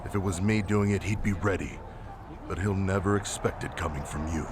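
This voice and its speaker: An actor playing a scheming, terse wrestling rival in a video game cutscene. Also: male voice, sits low, a little fast, background noise, natural voice. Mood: cool, scheming. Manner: terse, blunt. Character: gruff, scheming male wrestling rival, cool and blunt.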